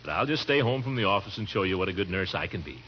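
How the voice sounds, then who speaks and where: Blustery, doting low-voiced old-radio uncle. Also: male voice, animated, rapid-fire. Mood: doting, reassuring. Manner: blustery, theatrical, with swooping exclamations and chuckles. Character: fussy, doting middle-aged uncle, blustery and affectionate. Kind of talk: 1940s radio comedy acting. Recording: muffled, noisy vintage radio recording.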